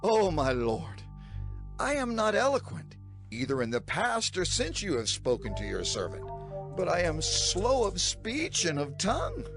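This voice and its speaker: A man's voice: sits high, huge pitch swings, a little slow, creaky, over music, full character voice. An actor playing an anxious, humble man who pleads hesitantly and emotionally in a dramatized scripture audio production. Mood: anxious pleading.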